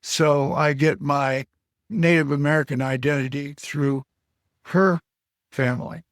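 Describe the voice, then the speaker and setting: An older man from Alaska answering interview questions slowly, pausing often with fillers and short of breath. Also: fairly high pitch, fairly even intonation, no room sound, clean studio sound. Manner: halting, with many pauses and fillers. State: short of breath, on supplemental oxygen. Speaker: guest. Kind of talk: slow reflective interview answers.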